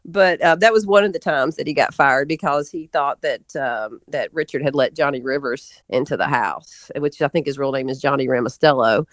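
A woman's voice: a bass voice, moderate pace, hardly any pauses, no room sound. A woman telling stories as an interview guest in a casual, rambling way, often doubling back mid-sentence. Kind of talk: casual interview storytelling, reminiscing.

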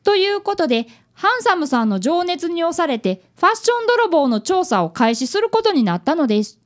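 A woman's voice: very high-pitched, big pitch swings, fairly quick. A woman playing an earnest, polite boy of about eleven, an apprentice detective in a radio drama. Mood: narrating. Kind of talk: radio drama acting and narration.

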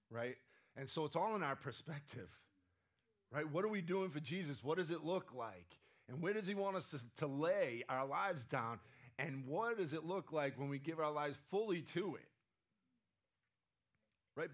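A man's voice: a little high, a bit bright. A man preaching a conversational sermon, often asking the room "right?", his voice strained and sometimes cracking. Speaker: pastor.

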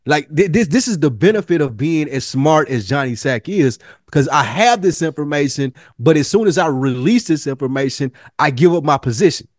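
A man with an American accent chatting casually as a podcast co-host, telling things in a slangy, profane, animated way. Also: on the high side, fairly quick, few pauses, no echo, clean studio sound. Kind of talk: casual TV review podcast chat.

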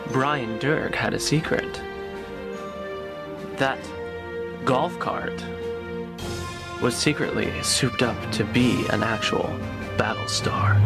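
A man hosting and narrating a podcast in a theatrical storytelling style. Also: medium pitch.

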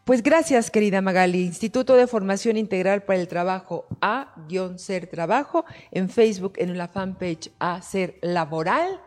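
A woman's voice: medium pitch, normal speed, few pauses, darkish tone. A woman hosting a talk show, speaking in an affectionate, emotive and expansive way. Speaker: host.

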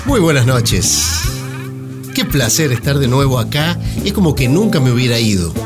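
A man with an Argentine accent presenting a radio segment, chatting in a halting, mock-solemn comic way. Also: mid-range voice, big pitch swings, loud music behind the voice.

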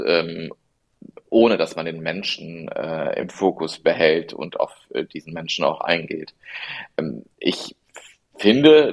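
A man being interviewed as a family lawyer on a podcast, speaking in a measured, earnest way with frequent ums and ahs. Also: low-pitched, vocal fry. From born in Italy, raised in the Rhineland.

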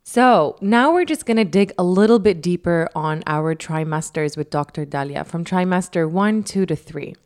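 A woman's voice: on the low side, normal speed, few pauses, darkish tone. A woman co-hosting a podcast, effusive and upbeat as she addresses listeners and guests. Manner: effusive, enthusiastic. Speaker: podcast co-host.

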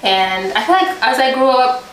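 A woman's voice: sits high, lively intonation, moderate pace, fairly bright tone, roomy sound, slight background noise, low-quality recording. A young woman from Tanzania, a guest, chatting casually in an interview and filling her sentences with "like" and "um". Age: young adult. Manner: chatty, many "like" and "um" fillers.

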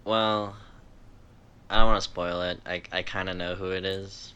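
A man's voice: low voice, little pitch movement, moderate pace, pushed and bright, clear, resonant tone, muffled recording. A man co-hosting a podcast, chatting casually about anime. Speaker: podcast co-host.

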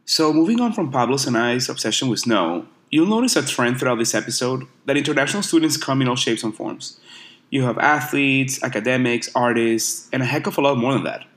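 A man's voice: medium pitch, lively intonation, fairly quick, fairly bright tone. Young male podcast host narrating. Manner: clear narrating with friendly back-channel agreement. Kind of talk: scripted narration and casual chat.

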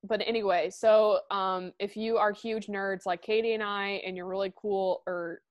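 A young woman from the Midwest, co-hosting a podcast in excitable, chatty talk that slips into casual swearing. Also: medium pitch, normal speed, no echo. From American Midwest. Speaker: podcast co-host. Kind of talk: casual chat.

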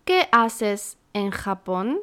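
A woman from Santiago, a teacher co-hosting a slow, scripted beginner lesson with clear, deliberate phrasing. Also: mid-range voice, very animated, a bit bright. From Santiago, Chile. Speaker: podcast co-host, Spanish teacher.

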